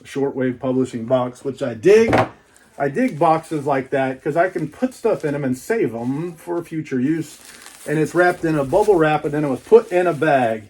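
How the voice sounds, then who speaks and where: A man giving a casual, chatty unboxing monologue in a folksy, colloquial way, repeating phrases for emphasis. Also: a little high. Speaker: book reviewer and YouTube host.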